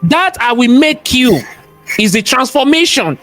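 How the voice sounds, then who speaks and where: A man's voice: very high-pitched, big pitch swings, unhurried, fairly bright tone, faint music behind. A man with a thick Nigerian accent, a cybersecurity expert talking as a guest in an interview, emphatic and preachy, repeating his points and asking rhetorical questions. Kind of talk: interview conversation, motivational talk.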